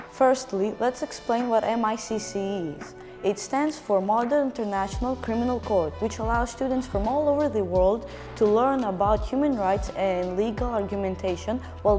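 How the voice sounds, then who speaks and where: A young woman hosting a student morning show, reading a scripted introduction in a clear, measured way. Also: mid-range voice, mellow, in a large hall. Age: young adult. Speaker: show host. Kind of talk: scripted student broadcast presenting.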